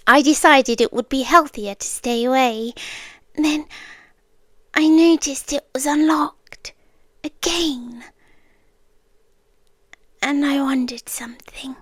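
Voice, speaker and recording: A British woman playing a calm, obsessive stalker girlfriend in an intimate ASMR roleplay, hushed and deliberate, often slipping into whispers, tender yet softly menacing. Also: high voice, no echo, studio quality. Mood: quietly intense. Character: soft-spoken obsessive girlfriend stalker, calm, coolly intense, yandere.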